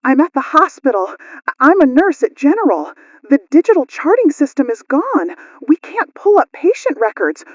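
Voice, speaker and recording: Actress as a frightened female nurse, high urgent voice, radio drama call-in, dull sound. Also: very animated, moderate pace, no room sound. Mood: panicked, urgent. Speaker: caller.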